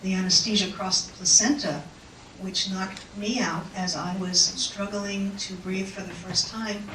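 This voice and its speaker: Woman talking slow and thoughtful to a crowd, mic sounds far off, lots of room echo, bit of hiss. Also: medium pitch, fairly even intonation, bright, forceful tone. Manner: unhurried, reflective storytelling with pauses. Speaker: invited speaker. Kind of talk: personal talk to an audience. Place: auditorium. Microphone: microphone. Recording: echoey hall mic heard from afar.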